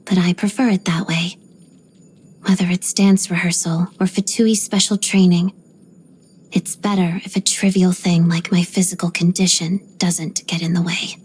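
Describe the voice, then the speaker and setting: An actress voicing a reserved, stoic young ballerina in a video game, speaking softly and breathily in a measured, restrained way. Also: female voice, on the low side, flat delivery, breathy edge. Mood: stoic, resolute. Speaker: video game character voice actor. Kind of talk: scripted game voice lines, introspective monologue.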